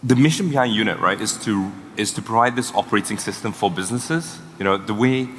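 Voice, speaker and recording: A startup co-founder from Singapore speaking in a fireside chat on stage, pitching fluently but restarting words now and then. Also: male voice, brisk, fairly bright tone. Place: event hall. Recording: reverberant hall with faint noise.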